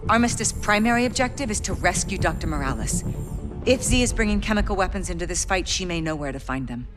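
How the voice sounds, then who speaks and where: An actress playing a stern, authoritative American intelligence case officer giving a clipped military briefing in a video game. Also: female voice, fairly low pitch, lively intonation, quick pace, music in the background, close to an everyday voice. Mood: grave, urgent.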